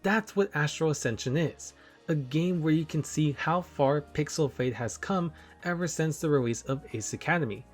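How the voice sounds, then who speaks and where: Youngish guy reviewing a game, hyped, voice swings up and down a lot, bit of music under. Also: mid-range voice. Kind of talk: enthusiastic review commentary. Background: background music.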